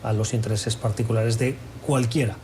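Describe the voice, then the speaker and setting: Mellow-voiced male news host, faint noise. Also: lowish voice, steady tone, normal speed. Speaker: host of a news panel show. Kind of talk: broadcast presenting and questioning.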